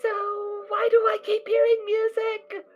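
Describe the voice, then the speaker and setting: A woman voicing a cheerful, naive little girl pony foal who talks in a bubbly, excitable way. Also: very high voice, measured pace, faint music behind. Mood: cautious. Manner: bubbly, sing-song, excitable.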